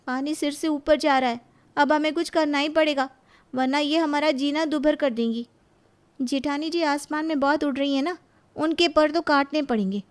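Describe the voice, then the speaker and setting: A woman's voice: high voice, moderate pace, close to an everyday voice. A woman voicing an assertive, indignant younger daughter-in-law in a moral cartoon story. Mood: scheming. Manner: assertive, accusing. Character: sharp, indignant younger daughter-in-law who fights back.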